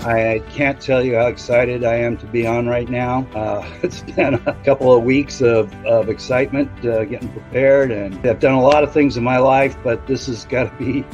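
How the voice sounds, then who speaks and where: A man who is an author, speaking with excitement and halting restarts. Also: mid-range voice. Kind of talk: excited thank-you remarks. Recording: muffled clip with faint music behind.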